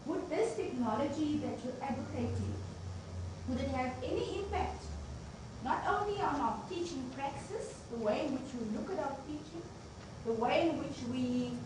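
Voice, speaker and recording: A woman's voice: sits high, lively intonation, moderate pace. A woman, a university deputy vice chancellor, giving an unscripted opening address without a microphone, earnest and emphatic, often restarting phrases. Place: lecture venue. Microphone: no microphone, speaking unamplified. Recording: echoey, distant room recording with noise.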